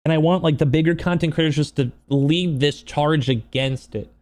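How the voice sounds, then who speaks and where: A man speaking off the cuff in a personal vlog, upset and close to crying, halting and repeating himself earnestly. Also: a little high, darkish tone. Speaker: streamer and video creator. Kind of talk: unscripted personal vlog monologue.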